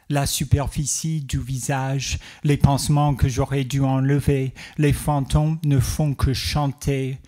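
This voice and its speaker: Man in his thirties, spoken-word poet, mellow tone, short measured phrases, little pitch movement. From Toronto area, Canada. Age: 30s. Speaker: poet and performer. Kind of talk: spoken-word poetry reading.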